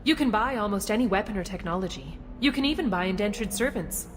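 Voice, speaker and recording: A woman voicing a courteous female alien city guide in a video game, speaking with polite, formal and measured official courtesy. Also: medium pitch, expressive, close to an everyday voice. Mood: courteous, informative. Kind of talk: scripted video game dialogue. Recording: long reverberant hall, some background noise.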